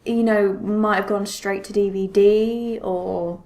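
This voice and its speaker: A woman's voice: medium pitch, fairly even intonation, slow pace, darkish tone. A woman co-hosting a podcast in a casual conversational style. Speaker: podcast co-host. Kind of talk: casual podcast chat about games.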